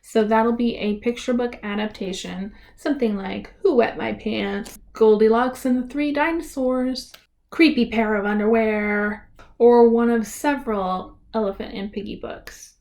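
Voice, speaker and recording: A woman presents a how-to for families in a fairly high voice. She goes slowly, enunciating each word with deliberate care. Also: steady tone, a little mellow. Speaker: presenter of a library reader's theater tutorial.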